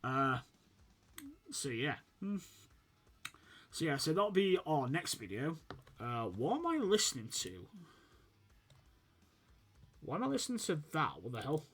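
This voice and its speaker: A man's voice: a little high, big pitch swings, unhurried, pauses often, a bit bright, breathy edge, faint music behind. A young man, slightly sniffly from a fading cold, casually commentating his football management game while thinking aloud with many fillers. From United Kingdom. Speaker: gaming channel host.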